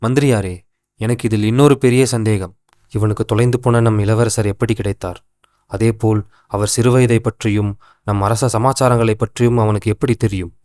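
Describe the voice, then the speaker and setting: A man playing a troubled, questioning king in an animated folktale, speaking formally and declaiming his words. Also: on the low side, normal speed, close to an everyday voice. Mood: bewildered. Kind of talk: animated moral story dialogue.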